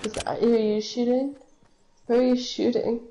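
A young woman chatting casually over voice chat while playing a game, relaxed, with lots of fillers. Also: fairly high pitch, normal speed, background noise. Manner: laid-back, lots of fillers. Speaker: player.

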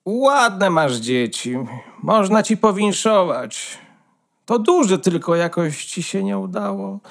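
A woman's voice: mid-range voice, animated, slow pace. A woman playing a haughty, disdainful old duck, a condescending grand lady in a fairy-tale radio play. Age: older. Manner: condescending, drawling.

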